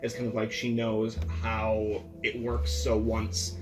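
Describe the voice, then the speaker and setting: A man's voice: lowish voice, completely flat delivery, slow. A man playing a tabletop game, chatting casually and thinking aloud as he explains an idea. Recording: ambient music behind the voice. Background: ambient background music.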